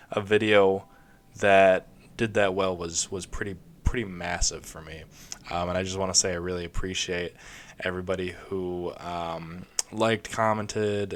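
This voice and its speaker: A man's voice: deep voice, a bit bright. Young man with a scratchy throat. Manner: rambling, many fillers and restarts. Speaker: gaming video creator. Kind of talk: casual let's play commentary.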